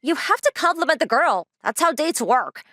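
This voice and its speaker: Actress as assertive young girlfriend, teasing, blunt, highish pitch, expressive intonation, manga romcom dub. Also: normal speed, no echo, heavily acted. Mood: teasing, confident. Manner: assertive, blunt, playfully demanding.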